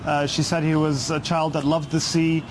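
A man reporting in a somber, halting way. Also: on the high side, level tone, background noise.

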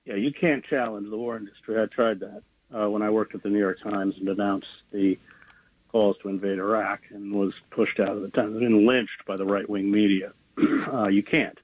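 A man speaking as a journalist guest in a political interview, earnest and halting, with many fillers and restarts. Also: lowish voice, level tone, breathy edge, no room sound, lo-fi sound.

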